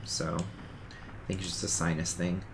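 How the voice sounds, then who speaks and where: Deep-voiced sniffly man narrating gameplay. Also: level tone, unhurried, vocal fry, slight background noise. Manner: rambling, self-correcting, talks through each game step. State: sniffly from a cold. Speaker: gaming streamer. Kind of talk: casual let's-play commentary.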